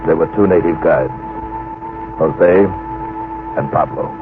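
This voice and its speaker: A low-voiced, grave man recounts an expedition in measured, literary phrases. It is classic radio drama narration. The sound is narrow and muffled, with music playing behind him. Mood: grave, foreboding. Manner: solemn, deliberate, literary. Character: grave male expedition surveyor recounting his own ordeal. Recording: muffled old radio recording, music underneath.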